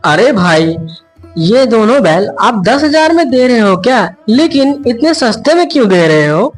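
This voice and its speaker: A woman voices a poor village husband in a cartoon fable. The voice is high for the part, earnest and tender-hearted, with music behind it. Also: sing-song, unhurried. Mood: eager. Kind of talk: cartoon moral story dialogue.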